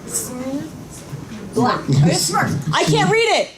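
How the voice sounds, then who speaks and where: An animated, giggly host bantering casually at a live panel. The pitch swings freely, and a loud audience fills the room behind the voice. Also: slow pace, vocal fry, a loud crowd.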